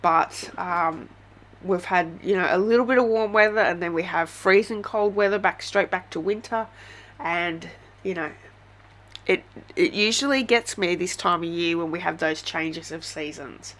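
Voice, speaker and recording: A woman's voice: on the low side, normal speed, fairly bright tone. Australian woman with a head cold. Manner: chatty, gushing, many ums. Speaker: craft tutorial host. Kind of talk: casual step-by-step craft tutorial.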